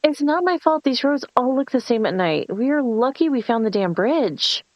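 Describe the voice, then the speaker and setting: A woman's voice: high voice, animated, moderate pace, lo-fi sound. A woman playing a bossy, sarcastic young woman scheming a prank in a screenplay table read. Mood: irritated. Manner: sarcastic, foul-mouthed, commanding.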